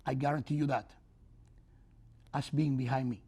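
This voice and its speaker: An older man giving a closing speech to an audience as an author. He speaks in an earnest, preaching way, with simple phrasing and big swings between loud and soft. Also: mid-range voice, steady tone, normal speed, slightly rough.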